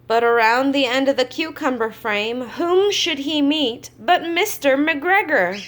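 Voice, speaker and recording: Lady with a highish voice reading a kids picture book out loud, expressive, chats to the little ones as she goes. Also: unhurried. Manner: expressive, acts out exclamations, adds chatty asides to young listeners. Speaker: kindergarten teacher reading to class. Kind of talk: children's storybook read-aloud.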